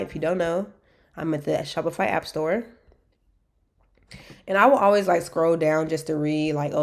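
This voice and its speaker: A woman's voice: low voice, a little mellow. A woman with a stuffy, stopped-up nose from allergies, chatting loosely through a walkthrough of online store vendors with lots of fillers and restarts. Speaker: dropshipping store maker reviewing vendors. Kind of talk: casual screen-share walkthrough.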